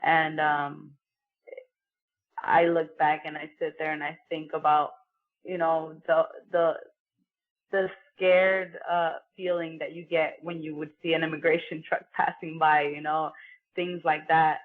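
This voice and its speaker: This is a woman from Mexico, a professional boxer, speaking as a guest in a relaxed interview. She keeps a level, earnest tone and scatters "you know" through her answers. Her voice comes over a narrow, tinny remote line, as if on a phone call. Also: sits low, unhurried. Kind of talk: casual interview conversation.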